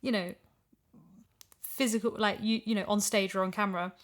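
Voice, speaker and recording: A woman's voice: mid-range voice, steady tone, normal speed. Chatty woman in casual conversation. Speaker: voice actor and podcast guest. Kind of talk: casual chat.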